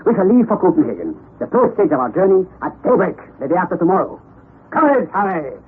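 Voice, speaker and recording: An actor playing an eager, headstrong professor uncle, emphatic and declamatory in an old-time radio drama. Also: male voice, high-pitched, sing-song, fast talker, husky, heavily acted. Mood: bold, determined. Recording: tinny, noisy old radio broadcast recording.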